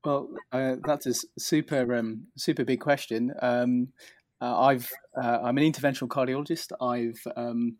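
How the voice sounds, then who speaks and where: A man's voice: medium pitch, fairly even intonation, moderate pace, a little mellow, dry recording. A middle-aged man with a southern English accent, telling his career story in an interview in a polite, modest, hesitant way. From England, near London. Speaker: interview guest, cardiologist.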